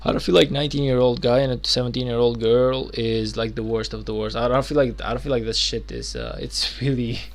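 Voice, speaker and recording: A man's voice: mid-range voice, fairly even intonation, a little fast, hardly any pauses. A man in his twenties chatting loosely while streaming a game, rambling with lots of fillers and restarts. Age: 20s. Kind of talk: casual live-stream chat.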